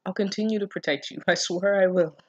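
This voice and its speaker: A woman's voice: mid-range voice, steady tone, a little fast, a little mellow, no room sound, lo-fi sound, lightly acted. A woman voicing a grim, possessive, menacing young zombie-apocalypse survivor in an amateur story reading, terse and brooding. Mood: possessive, reassuring.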